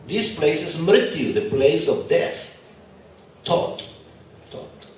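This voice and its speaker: A man's voice: a little high, lively intonation, slow pace, slight background noise. Man lecturing in a very echoey hall. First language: not English. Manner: conversational, asks the audience questions, light humor. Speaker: spiritual teacher. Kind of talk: lecture to a live audience. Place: large echoey venue at an expo. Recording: very echoey hall, speaker far from mic.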